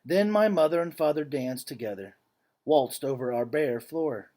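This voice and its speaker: A man reading a picture book aloud like a teacher to students, with measured phrasing and casual explanatory asides. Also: a little high, animated, warm tone.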